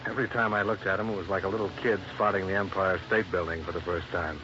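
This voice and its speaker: An actor playing a wry, hardboiled American investigator, dry and wisecracking, in old radio detective drama. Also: male voice, low-pitched, level tone, quick pace, background noise, natural voice. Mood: wry narrating. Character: wry, hardboiled American insurance investigator narrating his case. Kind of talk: 1950s radio detective narration and dialogue. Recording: muffled old radio recording.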